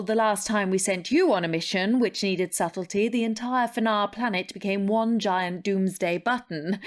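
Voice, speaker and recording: An actress playing the brisk, unflappable chief of a space spy bureau, formal and dryly authoritative, in a sci-fi audio drama. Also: female voice, medium pitch, close to an everyday voice. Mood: dryly stern.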